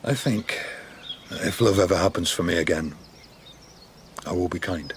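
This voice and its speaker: A man's voice: sits low, moderate pace, lightly acted. An actor playing a kind, playful middle-aged father and birdwatcher in a naturalistic radio drama. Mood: gentle, frank. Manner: gentle, plain-spoken, teasing. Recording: clearly noisy outdoor ambience with birdsong.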